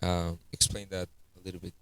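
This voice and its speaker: A man with a Spanish accent hosting a podcast, searching for English words in a halting, friendly way. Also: deep voice, level tone, vocal fry, a bit bright, clear, resonant tone, no room sound, rough recording. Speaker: podcast host. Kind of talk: casual interview in a second language.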